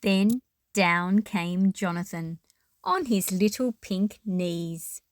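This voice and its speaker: A woman's voice: lowish voice, slow pace, dry recording. A woman reading a children's picture book aloud expressively, with explanatory asides to young listeners.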